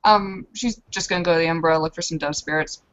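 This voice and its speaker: A woman with a fairly low voice plays a tabletop roleplaying game. She moves casually between narration and in-character talk. The sound is dull and lo-fi. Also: fast talker, a bit bright, dry recording.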